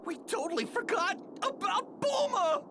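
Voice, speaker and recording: A woman's voice: very high-pitched, very animated, unhurried, background noise, exaggerated voice. An actor playing a panicky, good-hearted little male fighter in an anime game. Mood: alarmed. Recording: music behind shouted lines.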